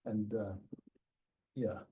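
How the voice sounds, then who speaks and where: Guy running a pitch night, rambling, lots of restarts, mellow voice. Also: level tone, drawn-out words, breathy edge. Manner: chatty, rambling, many restarts. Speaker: event host. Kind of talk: hosting a live pitch session. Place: crowded room.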